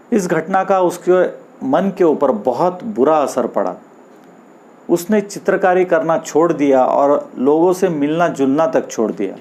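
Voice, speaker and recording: Male storyteller for moral tales: medium pitch, unhurried, measured phrase-by-phrase read. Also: a little mellow. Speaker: storyteller and host. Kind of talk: scripted moral storytelling narration.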